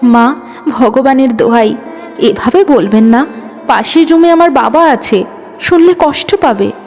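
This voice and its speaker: A woman's voice: high-pitched, a little slow, a little mellow. A woman voicing a long-suffering young wife in an audio story, pleading and reproachful. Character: long-suffering young wife, hurt, protective of her father. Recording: long reverb, music underneath.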